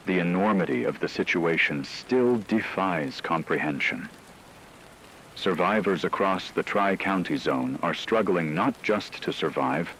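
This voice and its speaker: Deep, solemn radio anchor played by a man. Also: creaky, a bit bright, slight background noise, full character voice, poor audio. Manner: solemn, measured, formal. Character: grave, steadfast male emergency radio anchor in an apocalypse. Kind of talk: scripted dramatic broadcast narration.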